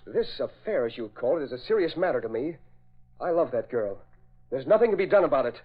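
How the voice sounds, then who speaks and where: An actor playing a defiant, argumentative young playboy son in 1940s radio drama style. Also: male voice, medium pitch, very animated, brisk. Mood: earnest, insistent. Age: young adult. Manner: insistent, argumentative. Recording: dull vintage radio recording.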